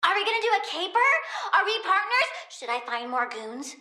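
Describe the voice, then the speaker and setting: Video game villainess, young plant-human girl: American, very high, bright voice, curious, flippant, petulant edge. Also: a little fast, roomy sound, full character voice. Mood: curious, chirpy. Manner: girlish, expressive, blunt, flippant. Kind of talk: animated video game voice acting.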